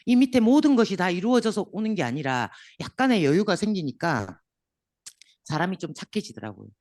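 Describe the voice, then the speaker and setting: A man delivers a motivational seminar talk to business owners. His throat is sore and his voice comes out hoarse. He keeps his pitch lively and animated and teases the audience with questions, and the sound is dry and close. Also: a little fast, no echo. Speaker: seminar lecturer. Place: lecture room in front of an audience.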